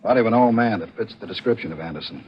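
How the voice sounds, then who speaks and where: An actor playing a blunt, streetwise police detective with a folksy, slangy manner, in 1940s radio thriller acting. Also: male voice, lowish voice, expressive, rapid-fire, slightly rough. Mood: businesslike. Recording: muffled, slightly noisy old radio recording.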